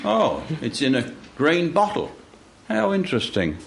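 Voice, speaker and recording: Fussy high-voiced male boss, acted out. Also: huge pitch swings, unhurried, creaky, a little hiss or hum, full character voice. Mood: brisk, curious. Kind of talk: live radio theatre.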